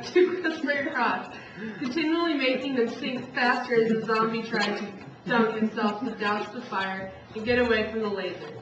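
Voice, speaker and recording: Echoey, distant woman narrating a story. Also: on the high side. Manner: stumbles and rereads lines. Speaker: narrator of a puppet show. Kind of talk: live storytelling read from a script. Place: echoey room, recorded from far off. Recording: distant echoey room, muffled, noisy.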